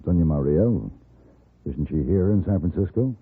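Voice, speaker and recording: An actor playing a composed, probing gun-for-hire hero in an old radio western, questioning in a commanding way. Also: male voice, sits low, moderate pace. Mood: cool, businesslike. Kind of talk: 1950s radio western acting. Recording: muffled, rough old radio recording.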